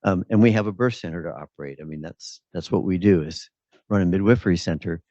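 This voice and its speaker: A man commenting at a symposium, speaking off the cuff in a halting way as he thinks aloud. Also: sits low, dark tone, dry recording. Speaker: birth center operator, symposium participant. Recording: dull lo-fi audio.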